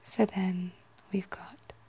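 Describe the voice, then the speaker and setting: A woman's voice: on the low side, flat delivery, takes it slowly, warm tone, husky. A woman in her thirties with a British English accent, whispering casual ASMR chat and musing to herself. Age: 30s. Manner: whispering, musing, self-correcting.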